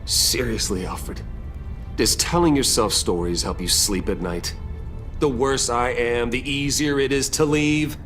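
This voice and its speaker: An actor playing a brooding, stubborn vigilante in a video game, terse and guarded. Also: male voice, medium pitch, a little slow, a bit bright, faint music behind, natural voice. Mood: defensive, bitter. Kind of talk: dramatic video game acting.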